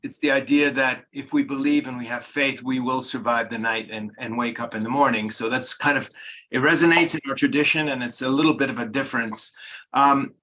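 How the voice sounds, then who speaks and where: A man in the congregation offering a thought and a question at a measured pace, starting with "um" and "uh.". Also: mid-range voice, sharp tone, poor audio. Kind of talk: casual comment.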